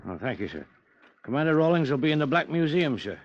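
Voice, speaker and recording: An actor playing a courteous, deferential young British police constable in a 1950s radio drama. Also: male voice, a little high, big pitch swings, fast. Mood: polite. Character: young, very polite but firm police constable. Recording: muffled vintage radio recording.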